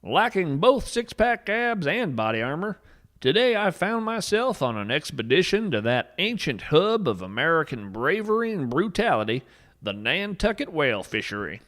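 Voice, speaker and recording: Casting: male actor as an eccentric naturalist host, higher-pitched, widely swooping delivery, folksy and grandly dramatic storytelling. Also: very animated, normal speed, fairly bright tone. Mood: folksy enthusiasm. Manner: folksy, dramatic, earnest with tongue-in-cheek grandeur. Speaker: podcast host. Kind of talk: theatrical scripted storytelling narration.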